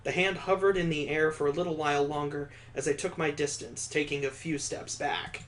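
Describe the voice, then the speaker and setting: A man's voice: on the high side, steady tone, fairly quick, fairly bright tone, slight background noise. A man reading a visual novel aloud as a let's play host, dropping in wry, casual comments of his own. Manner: wry, joking asides between read passages.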